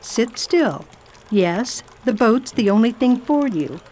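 A woman playing a self-assured, kindly lady traveller in radio theatre, speaking in a formal, deliberate and imperious way. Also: a little high, sing-song, warm tone, full character voice. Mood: brisk, kindly. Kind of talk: radio theatre acting and diary narration.

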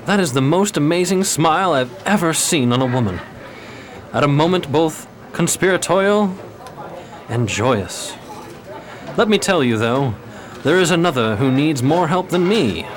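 Man playing indebted gentleman gambler, formal, florid, smitten, radio drama, slightly high pitch. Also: expressive, measured pace. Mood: amazed, admiring. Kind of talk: old-time radio drama acting.

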